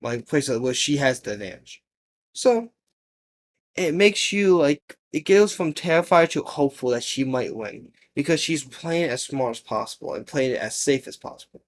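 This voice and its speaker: A man giving casual horror video commentary, rambling and halting as he rephrases his thoughts mid-sentence. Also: medium pitch, animated.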